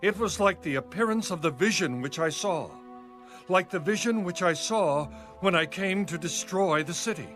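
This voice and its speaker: Higher-voiced male scripture narrator over music. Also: lively intonation, moderate pace, a bit bright. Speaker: audio Bible narrator.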